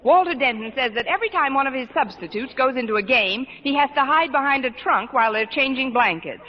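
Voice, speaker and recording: An actress playing a wry high school teacher who delivers dry, sardonic wisecracks in a vintage radio sitcom. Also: female voice, expressive, brisk. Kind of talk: 1950s radio sitcom acting. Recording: muffled, hissy old radio recording.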